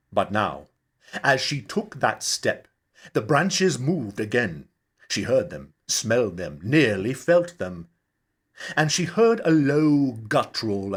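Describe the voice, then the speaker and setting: A man reading fiction aloud as a storyteller, with dramatic, deliberate and expressive audiobook delivery. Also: mid-range voice. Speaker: actor reading a novel chapter. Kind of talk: dramatic scripted audiobook narration.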